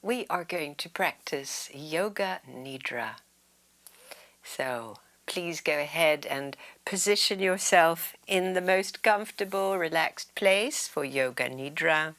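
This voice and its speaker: A woman with a low voice guides a meditation at an unhurried pace. Her intonation rises and falls widely, and her phrases often trail into a soothing, breathy whisper. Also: fairly bright tone. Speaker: yoga nidra meditation guide.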